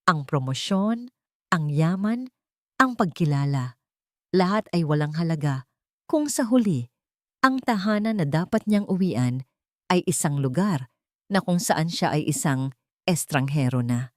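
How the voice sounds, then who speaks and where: A Filipino woman narrates a dramatic story in a fairly low voice with lively, swooping intonation. She delivers it in measured, emphatic phrases, and the recording is close and dry. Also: no room sound.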